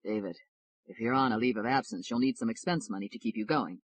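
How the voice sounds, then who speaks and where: A woman's voice: a bass voice, fast, husky, no room sound, voice pushed down. A woman voicing a formal older male doctor who speaks insistently.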